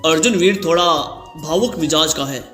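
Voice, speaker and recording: Man playing a bright-voiced, deliberate old king. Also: fairly high pitch, roomy sound, faint music behind, natural voice. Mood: thoughtful, formal. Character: old male king, fatherly, deliberate and grave.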